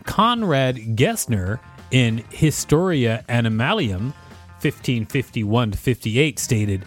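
A male podcast host reads reference text aloud with commentary. His pitch moves around a lot while his pace stays unhurried. The delivery is playful and theatrical, with faint music around it. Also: animated. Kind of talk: reading an encyclopedia page aloud with commentary.

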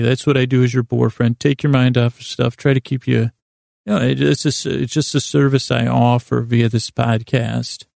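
Man, podcast host, thick vocal fry, dry studio-like sound, meandering bedtime monologue, digressive, gently silly, self-deprecating. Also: medium pitch, no echo.

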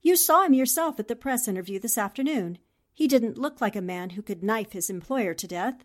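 A woman's voice: a little high, expressive, fairly quick, slight background noise, close to an everyday voice. A woman playing a determined, earnest young newspaper columnist in a 1940s-style radio drama. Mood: spirited, insistent. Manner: earnest, insistent.

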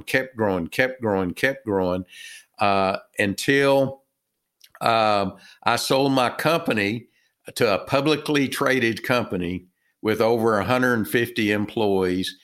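A middle-aged man from Texas with a Texan accent, a guest telling his story in a halting way with many fillers and restarts. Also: fairly low pitch, fairly bright tone. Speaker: guest, retired business owner and author. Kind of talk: casual interview talk.

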